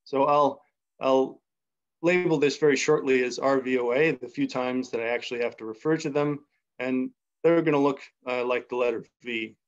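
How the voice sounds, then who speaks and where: A young man giving an academic seminar talk over a video call, unhurried and thinking aloud with many pauses. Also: mid-range voice, fairly even intonation. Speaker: seminar speaker. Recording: slightly muffled video call audio.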